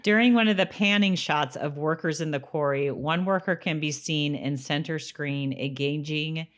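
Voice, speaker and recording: A woman's voice: low-pitched, normal speed. A woman co-hosting a podcast, talking in a casual, conversational style. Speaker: podcast co-host. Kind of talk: casual chat about a TV episode.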